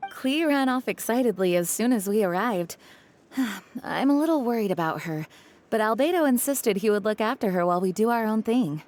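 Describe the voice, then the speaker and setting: A woman's voice: mid-range voice, expressive, darkish tone, lightly acted. Woman as an earnest, courteous lady knight. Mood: concerned. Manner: polite, measured, formal. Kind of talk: anime-style video game voice acting.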